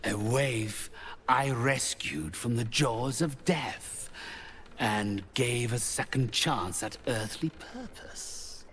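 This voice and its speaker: An actor playing a haughty, cold, mercenary necromancer in a fantasy game, speaking slowly with theatrical condescension. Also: male voice, mid-range voice, fairly bright tone, a little hiss or hum. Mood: disdainful, menacing. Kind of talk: cinematic fantasy game dialogue.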